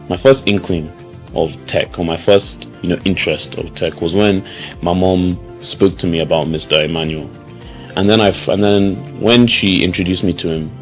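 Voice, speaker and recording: A young man speaks in a very low voice, relaxed and unhurried, often circling back with "you know" and false starts. Soft music plays faintly behind him. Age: young adult. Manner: relaxed, many fillers and restarts. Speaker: student. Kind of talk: interview answers.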